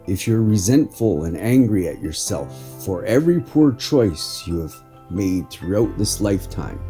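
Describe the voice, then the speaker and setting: A man with a very dark, mellow and relaxed voice speaks earnestly as an inspirational podcast host. His deep-leaning pitch rises and falls in a lively way, with deliberate phrasing. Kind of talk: inspirational spoken article reading.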